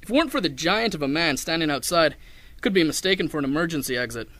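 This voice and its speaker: A young man playing a hard-boiled, wry detective who narrates a 1930s noir radio drama in a deadpan way. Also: a little high, quick pace, no room sound. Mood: wry narration. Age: young adult. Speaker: narrator and lead actor.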